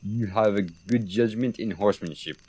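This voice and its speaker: A man's voice: fairly low pitch, moderate pace, darkish tone, slightly rough, poor audio. A young man acting a sardonic French military commander in a Shakespeare radio play, with a dry, mocking delivery. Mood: wry sparring. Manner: dry, wry.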